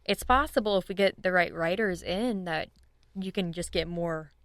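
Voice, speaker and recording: A woman's voice: on the low side, moderate pace, fairly bright tone, dry recording, clean studio sound. A woman speaking as a guest in a casual, conversational podcast interview. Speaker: podcast guest, audio drama writers' room leader.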